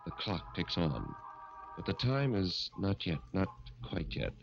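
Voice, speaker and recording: Deep, slow, ominous male radio narrator. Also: very animated, gravelly. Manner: ominous, theatrical. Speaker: announcer and narrator. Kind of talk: 1940s radio horror narration. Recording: muffled old radio recording. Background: loud dramatic music.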